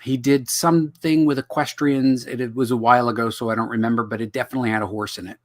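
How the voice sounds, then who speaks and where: Brief: middle-aged male host, slightly hoarse, jokey and self-deprecating, webcam sound. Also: medium pitch, steady tone, quick pace. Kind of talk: casual livestream teaching. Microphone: video call webcam.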